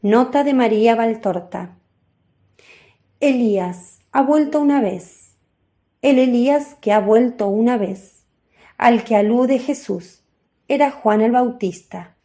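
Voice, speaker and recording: A woman narrates a religious radio drama from a script. Her voice sits somewhat high yet stays soft and mellow, composed and explanatory. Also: measured pace.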